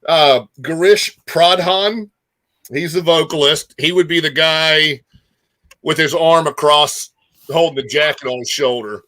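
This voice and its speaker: A man's voice: on the high side, a little slow, pushed and bright. A man hosting a music show in a casual, informal chat, repeating phrases for emphasis.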